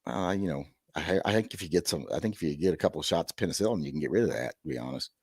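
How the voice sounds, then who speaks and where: A middle-aged man with a hoarse, gravelly voice hosting a rambling live-stream chat with wry, self-deprecating asides. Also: very deep voice, lively intonation, rapid-fire, dry recording, clean studio sound. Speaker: host.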